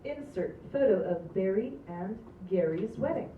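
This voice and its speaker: A woman's voice: medium pitch, expressive, moderate pace. Distant woman reading stage directions. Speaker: reader of stage directions at a table read. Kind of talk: reading script directions aloud. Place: room at a group table read. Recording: roomy, distant, dull sound with noise.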